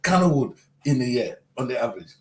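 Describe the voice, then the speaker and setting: A man hosting a TV talk show, animated, with quick interjections and affirmations. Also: medium pitch, reverberant. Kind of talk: conversational TV interview.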